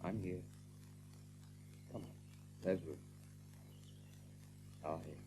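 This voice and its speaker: A male actor playing a steady, protective, worried rabbit leader in a hushed, earnest manner. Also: deep voice, slow, vocal fry, full character voice. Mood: concerned. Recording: tinny narrow-band audio with hum.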